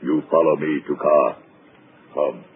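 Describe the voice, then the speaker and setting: An actor playing a huge, menacing chauffeur who speaks in slow, clipped, broken English, in a 1940s radio drama. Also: male voice, extremely low pitch, fairly even intonation. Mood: gruff. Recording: tinny, hissy 1940s radio broadcast.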